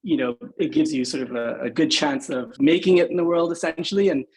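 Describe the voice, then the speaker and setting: A man's voice: on the high side, a little fast, poor audio. A man from Singapore in his thirties, a podcast guest talking thoughtfully with lots of "you know" and "right?" fillers. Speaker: guest, agency founder. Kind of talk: podcast interview.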